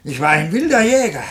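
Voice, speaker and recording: Old forgetful ex-hunter in a radio drama, gravelly, halting, kinda boastful, slow. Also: male voice, on the high side, fairly bright tone, a little hiss or hum, exaggerated voice. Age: older. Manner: halting, rambling old man's talk. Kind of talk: radio play acting.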